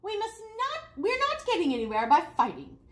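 Chief frog for a picture book female reader: commanding, formal, deliberate, very animated. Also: extremely high pitch, pitched above the performer's own voice, heavily acted. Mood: urgent, commanding. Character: chief frog, a grave, commanding male leader. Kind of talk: children's storybook read aloud.

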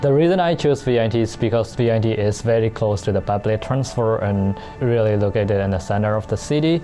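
A man's voice: lowish voice, brisk, mellow, soft background music. A young man from Myanmar giving a plain, earnest student testimonial. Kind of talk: scripted testimonial.

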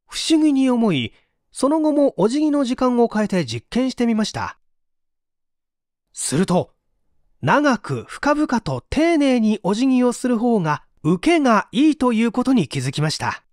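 A man with a high-pitched voice reads a nonfiction audiobook in a polite, explanatory manner. His intonation rises and falls in a lively way. The sound is dry and close, with no room echo. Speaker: audiobook narrator. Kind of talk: scripted audiobook reading.

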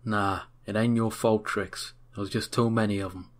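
A man's voice: on the low side, steady tone, normal speed, natural voice. A man voicing a brash young newsboy with a gambler's streak, slangy and wisecracking, in a fan audio drama. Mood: reassuring.

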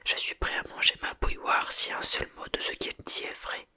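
A reader whispering the lines of a gruff, grumbling male half-giant groundskeeper in a storybook. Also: normal speed, pushed and bright. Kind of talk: ASMR whispered storybook reading.

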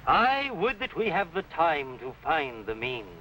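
An actor playing a loyal, anxious medieval Saxon messenger in declamatory, archaic 1950s TV drama style. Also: male voice, on the high side, big pitch swings, a little slow, pushed and bright, recorded from a distance, full character voice, poor audio. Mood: foreboding. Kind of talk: 1950s TV historical drama acting. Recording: old film soundtrack, off mic, faint noise.

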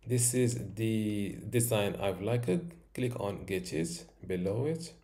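A man in his thirties walking through a software tutorial step by step, with occasional fillers, recorded at a home desk. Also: fairly low pitch. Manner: slow, step-by-step instructions with fillers. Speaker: tutorial host.

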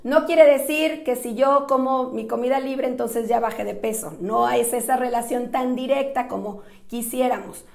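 A woman in her forties with a Mexican accent and a slightly hoarse voice, talking casually and effusively to the camera as a nutritionist hosting her show. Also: fairly high pitch, fairly even intonation, moderate pace, few pauses. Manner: effusive, friendly, thankful. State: slightly hoarse, recovering from a sore throat. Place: small indoor office. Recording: echoey small room.

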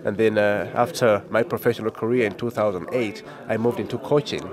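A man's voice: on the low side, steady tone, a little fast, crowd noise. A man in his thirties from Uganda with a Ugandan accent, a soccer coach answering interview questions hesitantly, full of fillers, into a handheld mic indoors. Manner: hesitant, many fillers and restarts. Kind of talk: casual interview. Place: indoor meeting room with a small crowd. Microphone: handheld mic held by the interviewer.

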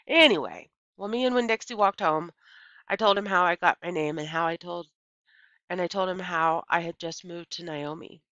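A middle-aged woman reading a children's novel aloud like a teacher, with expressive, deliberate storytelling and emphasized key words. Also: on the low side, no echo. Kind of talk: children's novel read-aloud, scripted narration. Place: small indoor room with bookshelves. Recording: dull, lo-fi computer microphone sound.

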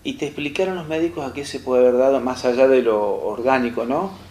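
A young adult man with an Argentine accent, interviewing a guest with gentle probing questions and finishing the guest's phrases. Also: mid-range voice, brisk. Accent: Argentine Spanish. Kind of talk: studio interview. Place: small studio set, seated in armchair. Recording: roomy echo, faint hiss.

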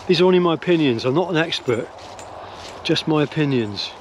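A man's voice: fairly high pitch, expressive, brisk, slight background noise. An older man talking while walking his dogs through woodland, musing and hesitant, with many fillers and restarts, recorded close on a handheld camera. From England. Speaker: vlogger. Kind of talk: rambling walk-and-talk opinion vlog. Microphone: phone or camera held close at arm's length.

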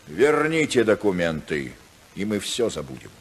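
An actor playing a cynical, scheming senator husband with a sardonic, theatrical, mock-polite manner in a 1950s Soviet radio drama. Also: male voice, low voice, sing-song, moderate pace, slight background noise. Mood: bargaining, cajoling. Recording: 1950s radio recording, long hall reverb.

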